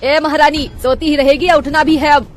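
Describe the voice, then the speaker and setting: A woman's voice: fairly high pitch, moderate pace, faint music behind, natural voice. A woman voicing a scolding, mocking mother-in-law in a cartoon story. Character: scolding middle-aged mother-in-law, sharp-tongued. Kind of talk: cartoon voice acting.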